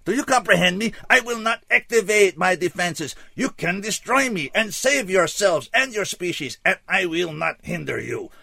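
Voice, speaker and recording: A despairing alien from a science fiction storybook. The voice is high, sharp and very gravelly, swinging widely in pitch. Each phrase comes out stiff, formal and intense, like a doomed servant declaiming. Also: male voice, lively intonation, moderate pace, hardly any pauses, no echo, clean studio sound, heavily acted. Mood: frantic, pleading.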